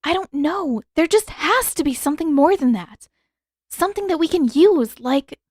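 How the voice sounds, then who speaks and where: Determined teenage heroine, voiced by a woman narrator, raised pitch, impulsive and insistent, dry sound. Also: animated, moderate pace, a little mellow, dry recording. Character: determined, impulsive teenage girl, quick-thinking.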